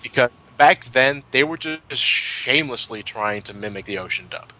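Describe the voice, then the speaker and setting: A man in his twenties with a bright, punchy voice at a middling pitch. He reminisces casually like a podcast commentator, stopping and restarting phrases and swearing freely as he goes. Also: expressive. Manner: halting, repeats words, sweary. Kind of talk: casual retrospective chat, reminiscing.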